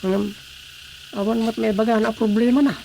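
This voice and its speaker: A male actor plays a practical village councilman in a radio drama, with a gravelly, creaky voice. He talks in a concerned, helpful, conversational way over clear background noise. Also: high voice, fairly even intonation, moderate pace, warm tone. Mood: explaining, concerned.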